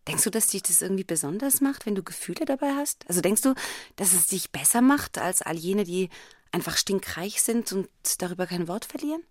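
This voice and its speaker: A woman's voice: mid-range voice, expressive, fairly quick, dry recording, studio quality. A woman speaking in pointed, confrontational questions, as in a radio play. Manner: probing, confrontational.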